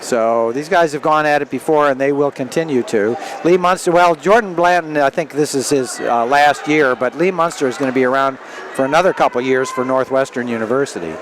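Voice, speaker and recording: An older man giving live radio wrestling commentary, chatting in a halting, conversational way between calls of the action. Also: mid-range voice. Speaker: play-by-play wrestling commentator, former wrestler and referee. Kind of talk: live radio sports commentary with casual banter. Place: indoor sports arena. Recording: noisy live arena broadcast. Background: loud venue noise.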